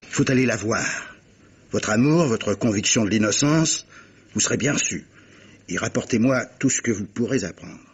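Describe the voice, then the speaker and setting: A man's voice: fairly low pitch, expressive, moderate pace, breathy edge, exaggerated voice. A man playing a shrewd, genial army captain and amateur detective in a 1950s-style French radio drama, emphatic and coaxing. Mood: brisk, intrigued. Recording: muffled old radio recording.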